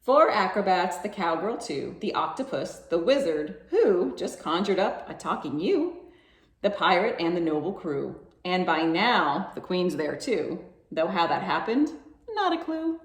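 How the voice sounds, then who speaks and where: A woman reads aloud to children with lively, swinging pitch. She takes her time and coaxes listeners with questions, and the room adds noticeable echo. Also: measured pace. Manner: coaxing questions to young listeners. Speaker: children's library storytime reader. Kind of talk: expressive read-aloud for children. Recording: echoey room sound.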